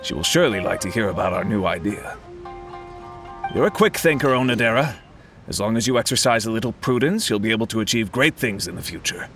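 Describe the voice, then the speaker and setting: A man playing a courteous, authoritative editor in a video game, speaking formally and deliberately. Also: on the low side, expressive, a bit bright. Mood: courteous, approving. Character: courteous, measured, authoritative male publishing editor. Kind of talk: scripted game dialogue. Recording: music behind the voice.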